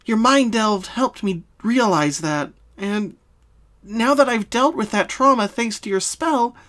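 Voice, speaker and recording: A woman voicing a sorrowful young female cartoon pony in a fan story, speaking softly and solemnly with hesitations. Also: fairly high pitch, expressive, pitched above the performer's own voice. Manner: soft, solemn, halting. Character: sad young female pony confessing her hidden identity.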